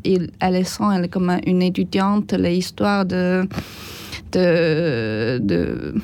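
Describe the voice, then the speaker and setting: A woman with a foreign accent, speaking French as a second language, tells a personal story haltingly as an interview guest. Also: on the low side, darkish tone. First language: not French, speaks it as a second language.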